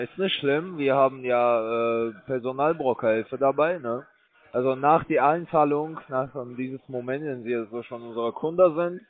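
A man making a pushy sales pitch over the phone, stumbling through broken grammar and hesitant fillers. His voice sits at an ordinary middle pitch. The sound is thin and tinny, like a phone line. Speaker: scam call center caller.

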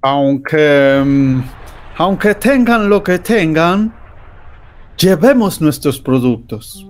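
A man's voice: fairly high pitch, expressive, takes it slowly. A man playing an arrogant, contemptuous British banker in an amateur radio drama, lecturing the others. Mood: scornful. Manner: lecturing, scornful.

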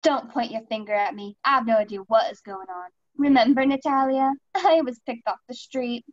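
A woman's voice: on the high side, normal speed, natural voice. A woman playing a defensive, accusing young female suspect in a radio mystery play, on a dull roomy recording.

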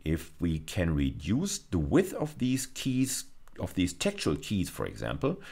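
A man's voice: sits low, a little slow, gravelly, breathy edge. A middle-aged man with a German accent giving a recorded university lecture, thinking aloud and restarting phrases as he explains. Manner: thoughtful, restarts phrases, lively intonation.

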